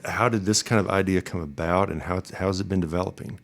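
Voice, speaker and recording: A man's voice: deep voice, fairly even intonation, quick pace. A man hosting an interview podcast, introducing and questioning a guest. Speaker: podcast host.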